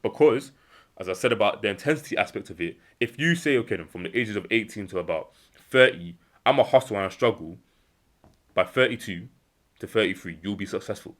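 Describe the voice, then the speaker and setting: Fast-talking young British man, lowish voice. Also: lively intonation, a bit bright. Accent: British English. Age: young adult. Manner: earnest, emphatic, repeats key phrases; acts out imagined dialogue in a lower voice. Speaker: self-improvement vlogger. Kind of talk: unscripted motivational monologue.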